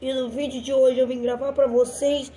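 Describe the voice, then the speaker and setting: Girl doing a sniffly doll host, kinda high voice, chatty, noisy home recording. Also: little pitch movement, moderate pace, dark tone, natural voice. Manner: talkative, addresses viewers.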